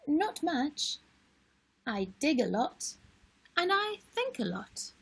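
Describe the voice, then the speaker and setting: A woman's voice: high-pitched, big pitch swings, slow pace, gravelly, voice pushed up, full character voice, low-quality recording. A woman voicing a small, earnest young male wombat who answers plainly and modestly in a children's storybook. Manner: plain, simple, repetitive answers.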